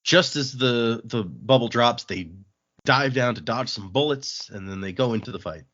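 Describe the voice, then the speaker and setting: A man's voice: medium pitch, moderate pace, a bit bright, lo-fi sound. A man hosting a podcast, casually recapping a book in an informal way. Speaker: podcast host.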